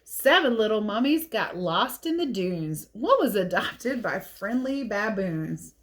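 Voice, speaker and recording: Woman, children's read-aloud teacher. Expressive storybook delivery mixed with casual, chatty asides. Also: medium pitch, unhurried. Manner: expressive, chatty, explains as she goes. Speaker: teacher reading picture books aloud.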